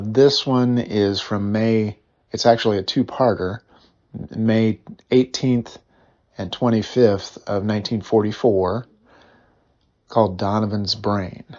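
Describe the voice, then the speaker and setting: A man with a fairly low voice gives an informal introduction as host. He speaks haltingly and off the cuff, in a slightly dull recording. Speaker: host introducing old radio programmes. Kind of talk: casual unscripted introduction.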